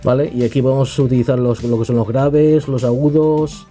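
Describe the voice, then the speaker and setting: Spanish guy from spain doing a casual tech review, relaxed dark voice, checks in a lot, bit of music behind. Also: medium pitch, moderate pace. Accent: Castilian Spanish from Spain. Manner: informal, unscripted, tags phrases with questioning checks. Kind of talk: casual spoken app review.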